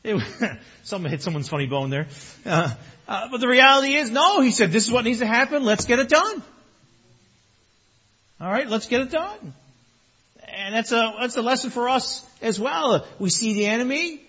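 A male pastor preaching a sermon in a conversational way, his voice a little strained, swinging between quiet and loud. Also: extremely high pitch, expressive, moderate pace, long pauses, slightly rough, muffled recording. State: slightly strained voice.

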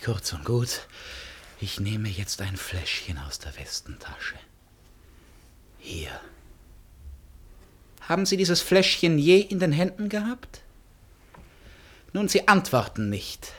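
Husky, volatile, feverish man. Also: a little high, very animated, measured pace, pauses often, full character voice. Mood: brooding, intense. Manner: theatrical, swinging between whispers and shouts. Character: agitated, feverish man, accusing and unravelling mentally. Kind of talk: German radio drama acting.

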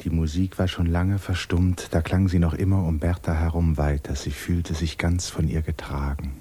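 A man narrating a literary 1950s radio drama in an expressive, dramatic storytelling manner. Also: low-pitched, normal speed, slightly rough. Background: violin music.

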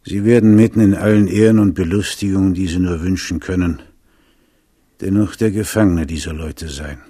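A stern old-world admiral in a radio play. His deep voice is dark and husky, with a measured, wary weight to each phrase. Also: dry recording, clean studio sound. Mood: grave warning. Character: grave, wary Huguenot admiral warning his prince. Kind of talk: German radio drama acting.

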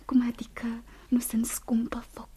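An actress playing a sweet, girlish young woman in love in a radio play, with a breathy, coy delivery. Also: a little high, completely flat delivery, warm tone, in a whisper, close to an everyday voice. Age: young adult. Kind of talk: radio theatre acting.

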